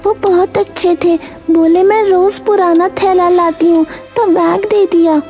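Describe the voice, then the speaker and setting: An extremely high, soft little girl's voice for a cartoon tale. She sounds innocent, eager and childlike, with light music underneath. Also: steady tone, a little slow. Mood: innocent explaining. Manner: childlike, naive.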